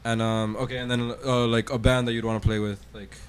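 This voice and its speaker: A young man hosting a casual interview, laid-back and slangy, cursing freely and firing quick follow-up questions. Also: mid-range voice, level tone, slight background noise. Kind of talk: casual chat.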